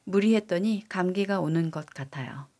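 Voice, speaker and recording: A woman with a Korean accent reads language drill sentences in a slightly low voice. She rattles off the Korean prompts fast, then says each English line more slowly and clearly. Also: warm tone. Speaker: English teacher.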